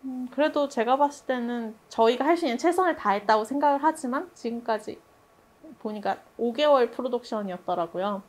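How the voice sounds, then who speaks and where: A woman's voice: a little high, expressive, a little fast. A woman speaking as a production director in a reflective group discussion, thoughtful and hesitant, often laughing a little as she talks.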